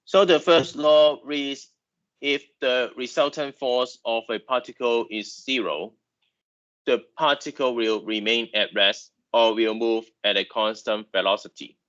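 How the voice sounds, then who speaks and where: A man's voice: mid-range voice, fairly even intonation, measured pace, a bit bright, highs cut off. A man whose first language is Chinese, lecturing online about engineering, who halts and repeats words with many fillers.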